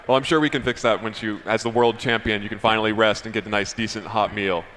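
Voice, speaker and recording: A man's voice: lowish voice, steady tone, quick pace, a bit bright. A man commentating and interviewing live at a video game tournament, with an enthusiastic, congratulatory manner. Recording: hall reverb, background noise.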